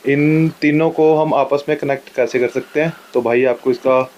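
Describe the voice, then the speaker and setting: A man's voice: mid-range voice, fairly even intonation, normal speed, a little mellow, muffled recording. A man hosting a casual vlog and asking questions in a polite, attentive way. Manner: polite, attentive, frequent short acknowledgements. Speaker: vlog host. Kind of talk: casual vlog presenting and interviewing.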